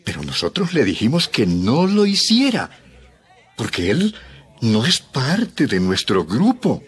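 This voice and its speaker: A man's voice: mid-range voice, huge pitch swings, unhurried, breathy edge, full character voice. A man playing an earnest male disciple addressing his master in a Bible audio drama. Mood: earnest, concerned.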